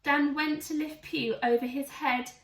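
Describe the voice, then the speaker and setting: A woman with a high voice reads a rhyming storybook to children. Her delivery is expressive and emphatic. The recording is roomy and slightly distant. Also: unhurried. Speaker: teacher reading to children. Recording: echoey room, away from mic.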